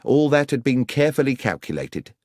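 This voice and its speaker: A man's voice: mid-range voice, big pitch swings, a little fast, darkish tone, no room sound, studio quality, voice pushed up. A man voicing a dutiful police inspector in an audiobook, reporting facts earnestly and deferentially.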